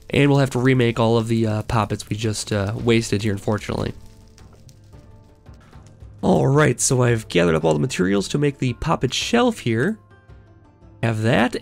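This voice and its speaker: A man's voice: mid-range voice, creaky. A man hosting a gaming video, talking casually through his play while getting over a cold, thinking aloud with lots of fillers. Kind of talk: casual let's play commentary.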